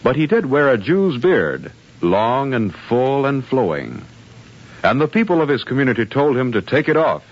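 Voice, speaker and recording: A man announcing and narrating a 1950s radio programme from a script, in a formal, measured delivery. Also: mid-range voice, sing-song. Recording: muffled vintage radio broadcast.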